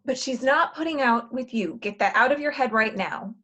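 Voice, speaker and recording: Casting: actress for exasperated, directive female fixer, sardonic, fairly high voice, stage comedy read. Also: animated, normal speed, fairly bright tone. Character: bossy, exasperated female matchmaker from the future. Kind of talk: virtual table read of a comedy play.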